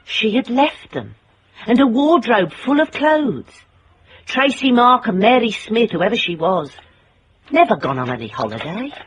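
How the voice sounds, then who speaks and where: Female private eye, higher voice, very animated, wry, determined, radio drama storytelling. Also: measured pace, breathy edge, exaggerated voice. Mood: brisk, probing. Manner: dry, insistent, wry. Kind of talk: radio drama acting with first-person narration.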